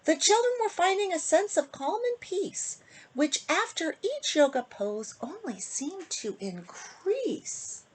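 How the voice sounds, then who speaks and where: A woman reading a rhyming picture book aloud to children in an expressive, sing-song manner, with phrases that often end softly. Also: extremely high pitch, slow, low-quality recording. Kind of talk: storybook read-aloud for children.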